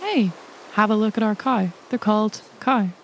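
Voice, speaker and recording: A woman's voice: mid-range voice, normal speed, a little hiss or hum. A woman playing a tabletop roleplaying game, improvising banter in character.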